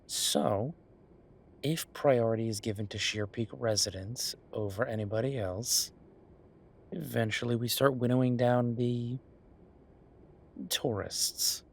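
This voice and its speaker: Audio roleplay chef, played by a man: male, easygoing, conversational and musing, medium pitch with a husky edge. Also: slow pace, pauses often. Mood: explaining, persuasive. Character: easygoing male chef planning restaurant business with his partner. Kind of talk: one-sided roleplay acting, casual chat.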